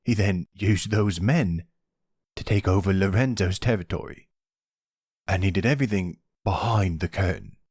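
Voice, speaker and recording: A man playing a nervous, chatty, none-too-bright mafia henchman in an audio roleplay, hesitant and stammering in slangy bro talk. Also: low-pitched, normal speed, slightly rough, no room sound, studio quality. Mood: nervous, pleading.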